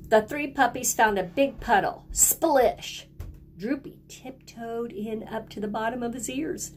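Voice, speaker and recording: A woman's voice: on the high side, a little mellow, faint music behind. A woman reading a children's picture book aloud like a teacher, speaking simply and prompting young listeners to join in. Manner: simple, deliberate phrasing, prompting children to repeat words.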